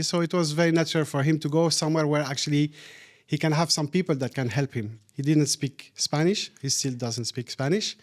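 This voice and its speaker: A middle-aged man born in Syria, speaking as a guest in a casual interview and often repeating words as he thinks. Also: a little high. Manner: thoughtful, repeats words while searching for them.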